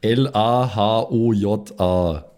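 A man's voice: on the low side, takes it slowly, darkish tone. A man playing in a role-play podcast, playful and laughing often, also reading out thanks to supporters. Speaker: player.

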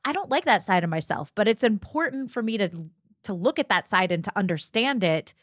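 A woman speaking earnestly in a reflective group discussion, choosing her words deliberately as a podcast panelist. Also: medium pitch, lively intonation, fairly bright tone, no echo, clean studio sound.

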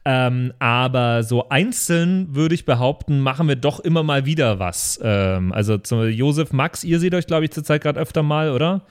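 A man's voice: medium pitch, animated, normal speed, hardly any pauses, smooth. A man hosting a podcast, chatting casually with friends and moderating listener questions.

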